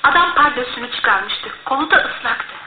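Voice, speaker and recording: A woman's voice: a little high, animated, moderate pace, close to an everyday voice. A female actor playing a respectful servant witness in a radio drama, recounting dramatically and with deference. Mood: shaken, earnest. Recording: echoey, tinny, noisy old radio recording.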